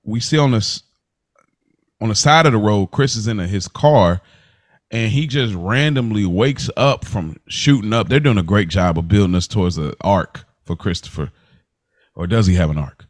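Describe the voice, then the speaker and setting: A man's voice: fairly low pitch, normal speed, dry recording, studio quality. An American man hosting a casual podcast about a TV series, talking in a slangy, conversational way and repeating phrases to make his point. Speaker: podcast host.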